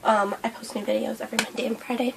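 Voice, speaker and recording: A young woman vlogging a breakfast mukbang, hoarse and losing her voice from a cold, rambling with lots of fillers. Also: a little high, level tone, fast, background noise. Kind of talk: casual mukbang vlog chat. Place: indoors at home under a hot light.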